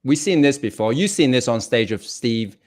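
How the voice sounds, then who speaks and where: A man's voice: mid-range voice, expressive, normal speed. A man from England chatting casually as a guest musician, telling stories in a self-deprecating way. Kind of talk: casual chat.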